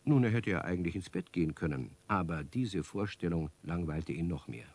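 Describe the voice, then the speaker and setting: A man narrating a children's radio play as a wry, knowing storyteller with a husky voice and measured delivery. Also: sits low, a little mellow.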